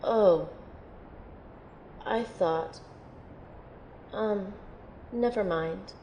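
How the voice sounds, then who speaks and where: A woman playing an earnest, unsure young female recruit in an audio drama, sounding sincere, gentle and tentative. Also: mid-range voice, drawn-out words, a little hiss or hum, rough recording. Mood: hesitant. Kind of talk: audio drama acting and first-person narration.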